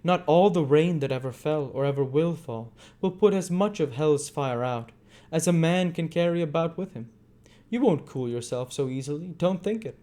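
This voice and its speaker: A man's voice: fairly high pitch, animated, moderate pace, warm tone, pitched above the performer's own voice, lightly acted. A man voicing a menacing, impatient, scornful male villain from a storybook, with barking, sneering retorts.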